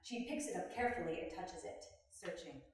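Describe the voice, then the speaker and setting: A woman's voice: fairly low pitch, lively intonation, brisk. A woman reading scripted stage directions as narrator of a dramatic reading. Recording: very echoey room, far from mic.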